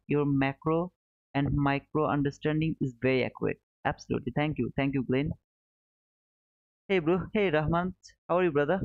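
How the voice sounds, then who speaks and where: Through a thin, phone-like connection comes a fairly high-voiced man. He chats casually as a stream host, repeating short phrases and addressing viewers like pals. The room adds some echo. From Bangladesh. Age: 30s. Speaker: live trading stream host. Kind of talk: casual chat, answering viewer comments. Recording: narrow phone-like sound, roomy echo.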